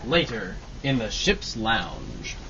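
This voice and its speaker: A man's voice: fairly low pitch, sing-song, takes it slowly. A man reading scripted narration as the announcer of an old-time radio drama. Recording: muffled, distant live recording, background noise.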